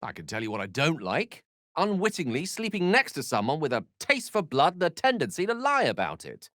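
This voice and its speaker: An actor playing a learned, wry wizard adventurer in a video game, with a British accent and an eloquent, theatrical way of talking. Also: male voice, fairly high pitch, huge pitch swings, fairly quick, fairly bright tone, no echo, clean studio sound. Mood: alarmed, indignant. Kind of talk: video game character voice acting.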